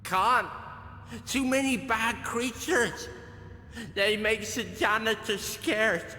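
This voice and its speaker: A man's voice: high-pitched, steady tone, takes it slowly, sharp tone, full character voice. A man voicing a timid old janitor creature in a video game, pleading in simple broken grammar. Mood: fearful. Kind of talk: video game character acting. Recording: echoey reverberant cave sound, noisy ambience.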